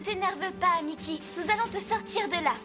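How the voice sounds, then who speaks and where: A woman's voice: very high-pitched, normal speed, over music. A woman voicing a helpful, reassuring young female rescue dispatcher in a children's cartoon. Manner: bright, helpful. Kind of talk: dubbed children's cartoon acting.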